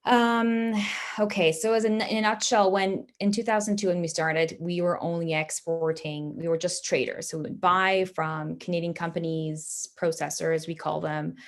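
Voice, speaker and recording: A woman's voice: fairly low pitch, level tone, moderate pace. A woman in her thirties from Dubai, speaking in a plain, explanatory way as she answers interview questions. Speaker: interview guest, family business executive.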